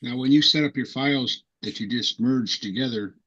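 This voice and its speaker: Man, audience member, hesitant polite questions, husky voice, dull recording. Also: medium pitch, moderate pace, sharp tone. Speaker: club member asking questions.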